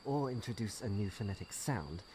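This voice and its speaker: A man's voice: lowish voice, expressive, normal speed, background noise, lightly acted. A man playing a witty, unflappable female archaeologist adventurer in a comic dub, full of wry quips and muttered asides. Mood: musing. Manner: wry, quipping, talks to self. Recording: music behind the voice. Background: clear music.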